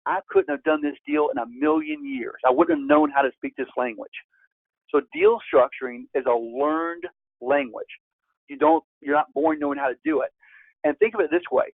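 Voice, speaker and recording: A gravelly man in his fifties is heard over a thin, phone-like line with a dry, close sound. He teaches like a seasoned presenter, stressing his points with sudden leaps upward in pitch. His voice sits at an ordinary middle pitch between those leaps. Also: no echo. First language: Spanish. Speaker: real estate investor presenting a course. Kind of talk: webinar presentation.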